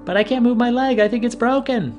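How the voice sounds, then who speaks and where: Guy doing a hurt scared girl, way up high in falsetto, music faint. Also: brisk, a little mellow, lo-fi sound. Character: scared injured young girl.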